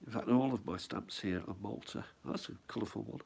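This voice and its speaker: A man's voice: lowish voice, fairly quick, vocal fry, darkish tone, husky. A middle-aged man with a head cold, chatting casually at home as he shows off his stamp album and muses aloud. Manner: rambling, musing aloud, asks himself questions. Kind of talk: casual show-and-tell.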